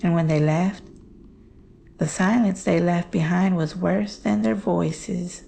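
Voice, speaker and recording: A woman playing a haunted, fearful witness, telling a horror story in a hushed, halting, deliberate way. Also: on the low side, fairly even intonation, vocal fry, exaggerated voice. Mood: haunted, uneasy. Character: haunted, fearful woman recounting her mother's terrifying secret. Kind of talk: slow dramatic horror storytelling.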